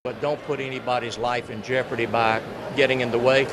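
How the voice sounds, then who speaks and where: A man's voice: mid-range voice, steady tone, fairly quick. A man giving a spoken statement to the press as an official. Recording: clear outdoor background noise.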